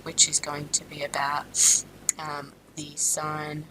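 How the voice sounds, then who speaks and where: A woman's voice: low voice, completely flat delivery, slow pace, background noise. A woman from Australia teaching a maths lesson with a blocked-up nose from a sinus infection, explaining steadily with frequent ums and self-corrections. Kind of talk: recorded classroom lesson.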